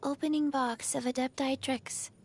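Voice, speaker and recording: A woman voicing an undead, forgetful little girl in a video game, in slow, halting, flatly childlike short phrases. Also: high-pitched, breathy edge. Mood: flat, dutiful.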